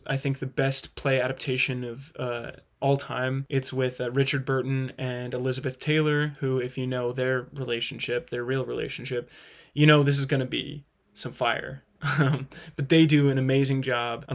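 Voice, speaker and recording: A man hosting a ranking video, giving casual, enthusiastic review commentary in an informal way. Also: medium pitch. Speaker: host of a play-ranking video.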